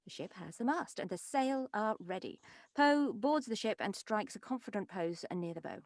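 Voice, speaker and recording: A woman's voice: medium pitch, lively intonation, moderate pace, dry recording. A woman reading an animation script's stage directions as narrator at a table read, close on the mic.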